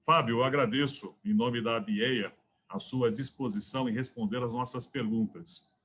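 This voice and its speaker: A man's voice: mid-range voice, fairly even intonation, a bit bright. A man hosting as association president, formally introducing a guest and asking questions. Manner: formal, measured. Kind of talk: scripted intro then interview questions.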